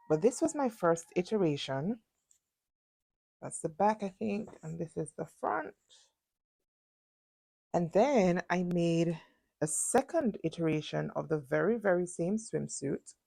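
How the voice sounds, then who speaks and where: Low-pitched woman with a gravelly voice, chatty sewing vlog, pokes fun at herself. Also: a little mellow. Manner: chatty and self-deprecating. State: stuffy and congested. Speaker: sewing vlogger. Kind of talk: casual sewing vlog narration.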